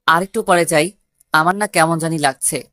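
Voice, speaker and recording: A woman's voice: sits low, moderate pace, fairly bright tone, dry recording, clean studio sound. A woman narrating a scripted first-person love story.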